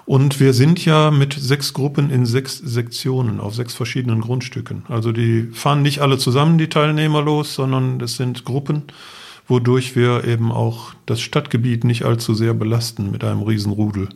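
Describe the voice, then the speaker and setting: A man's voice: mid-range voice, steady tone, moderate pace, vocal fry, a little mellow. A man telling his story as an interview guest, explaining things matter-of-factly and in detail. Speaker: interview guest, enduro event organizer; architect for the city.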